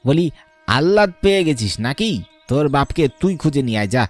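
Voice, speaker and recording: A man's voice: on the high side, very animated, brisk, faint music behind. Man playing a sneering, greedy villain brother. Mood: scornful. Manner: sneering, mocking, bossy. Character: greedy, scornful villain, younger brother of landowner. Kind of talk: melodramatic cartoon voice acting.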